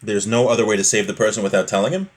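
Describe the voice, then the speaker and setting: A man's voice: fairly low pitch, racing. A man teaching an informal religious text class, explaining each point in plain, everyday words and repeating it for clarity.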